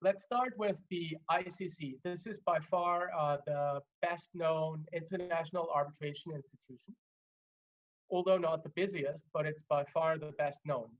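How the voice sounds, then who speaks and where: Male lecturer, German native, slightly high voice, measured with fillers, muffled webinar sound. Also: steady tone. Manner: measured, many fillers and restarts. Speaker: legal trainer. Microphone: video call.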